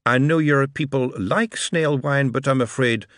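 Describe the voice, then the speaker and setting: A man's voice: medium pitch, a little slow, a bit bright, no echo, studio quality, voice pushed up, natural voice. A man voicing a formal, sniffy middle-aged French aristocrat with a French accent.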